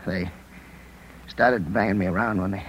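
Noisy old radio recording of a beaten-up reporter in a 1950s drama. His voice is fairly low and weary, and his words come in halting, broken phrases. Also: fairly bright tone. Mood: weak, halting. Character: battered, shaken young male newspaper reporter.

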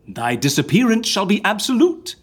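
Audio drama casting: theatrical schemer, high-pitched and very animated, florid declamatory delivery. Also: male voice, normal speed, husky, full character voice. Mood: solemn, grand. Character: grandiose Shakespearean schemer arranging faked deaths, male.

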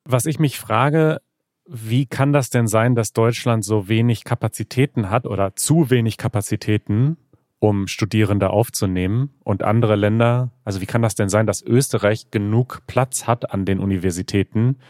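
A man from Germany with a fairly low voice hosts a podcast in standard German. The recording is dry and close, and he talks in a casual, conversational interview style. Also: normal speed, darkish tone, dry recording. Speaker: podcast host.

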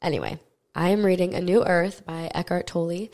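A young woman with a slightly low voice and a stuffy nose hosts her own solo podcast. She talks candidly and earnestly, dropping casual swear words along the way. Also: brisk, darkish tone. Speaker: podcast host.

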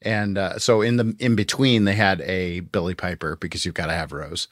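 A man's voice: low voice, steady tone, normal speed, no echo, studio quality. A man co-hosting a podcast in a casual, conversational style. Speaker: podcast co-host reviewing audio dramas. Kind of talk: casual chat.

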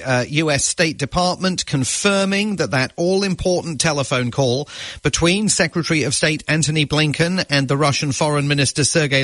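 Male, mid-pitched, news correspondent, live radio report, measured emphatic delivery, dry close sound. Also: fairly bright tone, no echo.

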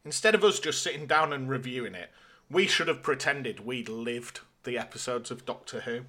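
A man with a bright, forceful voice at an average pitch co-hosts a podcast. His delivery is casual and conversational, like a chat between mates. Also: moderate pace. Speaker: podcast co-host.

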